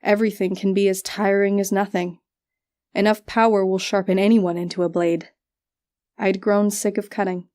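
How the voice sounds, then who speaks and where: A woman's voice: mid-range voice, level tone, normal speed, a little mellow. A woman narrating a literary first-person fantasy story as an audiobook reader.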